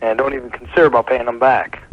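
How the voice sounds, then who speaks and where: A man's voice: mid-range voice, flat delivery, fast talker, no room sound. A man from the United States calling in to a radio show, talking casually over the phone. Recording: dull lo-fi call on radio broadcast.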